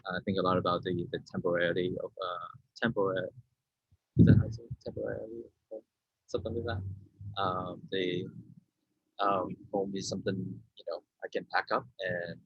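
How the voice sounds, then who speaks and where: Husky guy in his 30s from vietnam, hesitant, feeling for words, dull video call sound. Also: low-pitched, level tone, a little fast. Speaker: artist being interviewed. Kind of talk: informal talk about own artwork. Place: indoor room, on a video call. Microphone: video-call microphone. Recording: muffled, roomy video-call audio.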